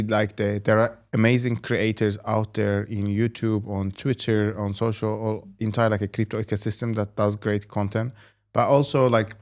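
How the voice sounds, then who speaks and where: Brief: male interview host, lowish voice, loose chatty questioning. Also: darkish tone. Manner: rambling questions full of "you know" and "like". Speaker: host and company executive.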